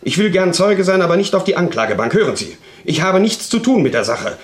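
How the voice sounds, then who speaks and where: An actor playing a gruff, defensive sailor in a radio play, blunt and colloquial, with a creaky voice. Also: male voice, sits high, big pitch swings, fairly quick. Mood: indignant.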